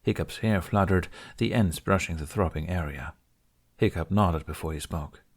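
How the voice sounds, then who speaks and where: A man's voice: low voice, moderate pace, breathy edge. A man narrating fiction as an audiobook reader, reading from a script.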